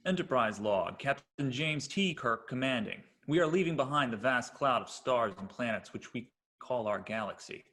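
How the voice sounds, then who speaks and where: A man's voice: medium pitch, lively intonation, moderate pace, bright, forceful tone. A man acting a commanding, thoughtful and defiant starship captain in a screenplay table read. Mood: grand, wondering. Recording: online video call.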